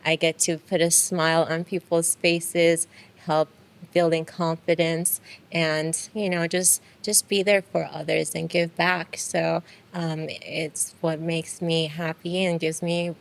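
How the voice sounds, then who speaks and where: Soft-spoken Iranian-born woman, smooth low voice. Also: flat delivery, slow pace. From Iran. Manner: soft-spoken, frequent um fillers. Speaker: guest. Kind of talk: casual interview.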